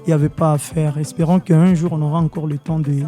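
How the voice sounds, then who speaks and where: A man's voice: on the high side, little pitch movement, fast, warm tone, soft background music. A man from Congo, a musician guest in a radio studio interview, mixing in Swahili and hesitating with many fillers.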